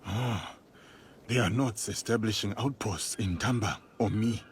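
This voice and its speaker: An actor playing a solemn, thoughtful warrior in a feudal Japanese video game, speaking in a measured, careful way. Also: male voice, on the low side, a little hiss or hum, lightly acted. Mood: grave, steady. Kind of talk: dramatic video game acting.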